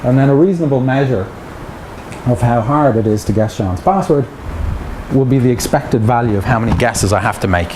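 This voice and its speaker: A man's voice: mid-range voice, a little fast, a little mellow. A middle-aged man with an Irish accent giving a chatty academic seminar talk, with joking asides to the audience. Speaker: lecturer. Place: seminar room with projector. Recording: echoey room with background noise.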